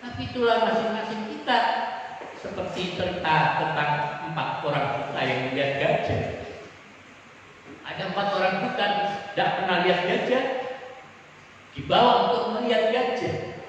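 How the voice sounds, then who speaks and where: A man preaching a Sunday sermon as pastor, telling stories and acting out voices with big jumps in pitch. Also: expressive, moderate pace, long pauses, fairly bright tone, muffled recording, slight background noise. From Kalimantan. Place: church hall. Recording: echoey church hall from far off.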